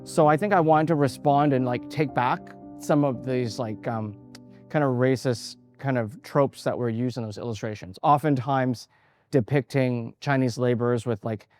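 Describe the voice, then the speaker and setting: A man's voice: mid-range voice, moderate pace, darkish tone, faint music behind. Hesitant, thoughtful man in his forties. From Canada. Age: 40s. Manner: thoughtful, hesitant, many fillers and restarts. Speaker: artist. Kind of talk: interview about his artwork.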